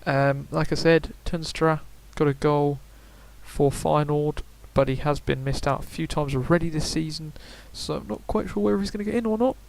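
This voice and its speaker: A man's voice: fairly high pitch, moderate pace, creaky, darkish tone, rough recording. A young man giving casual, rambling video game predictions, full of fillers and self-corrections. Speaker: gaming YouTuber.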